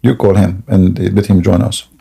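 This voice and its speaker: Husky raspy guy running a debate stream, keeps clearing his sore throat, laughs at stuff. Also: low voice, fairly even intonation, normal speed, dark tone. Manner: scoffing, laughs often. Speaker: livestream debate host. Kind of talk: mocking live debate chat.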